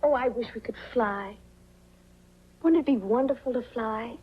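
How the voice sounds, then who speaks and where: An actress playing a high-strung, resentful but dreamy young daughter, girlish and emotional, in 1940s film style. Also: moderate pace, husky. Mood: wistful. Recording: dull 1940s film soundtrack.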